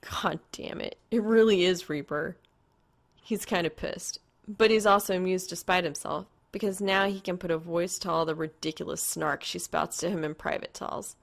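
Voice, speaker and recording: Creaky, low-voiced woman narrating a story. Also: normal speed. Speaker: fan fiction reader.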